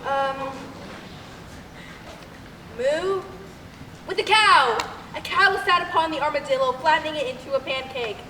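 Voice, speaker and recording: A woman's voice: high voice, unhurried, pauses often, pushed and bright. A young woman playing a flustered, pompous radio announcer in a comic old-time radio play. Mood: formal, flustered. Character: flustered, apologetic radio announcer and bossy hotel manager. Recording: echoey hall, far mic, clear noise.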